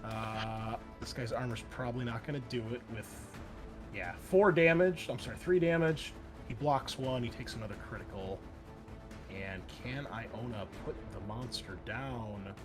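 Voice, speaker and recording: A man's voice: mid-range voice, measured pace, over music. A man running a tabletop game, narrating action dramatically in casual, profanity-laced speech. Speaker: game master.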